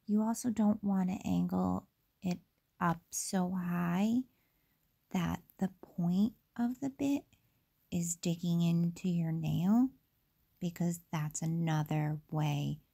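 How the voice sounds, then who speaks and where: A young woman with a slightly raspy voice from feeling unwell, casually talking through a nail tutorial with many fillers and asides. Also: fairly low pitch, takes it slowly, dark tone.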